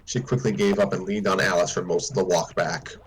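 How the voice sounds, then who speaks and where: A man's voice: deep voice, steady tone, fairly quick, slight background noise, rough recording. A man reading a story aloud informally, now and then stumbling and repeating words. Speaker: story reader on a stream.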